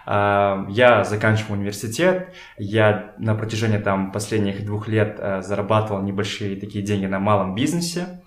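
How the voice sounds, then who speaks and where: Young man, lowish pitch, casual storytelling, informal slangy phrasing, thinking aloud, roomy home echo. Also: normal speed. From Kokshetau, Kazakhstan. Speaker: podcast guest, photographer and art director. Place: indoors in a home. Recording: echoey room.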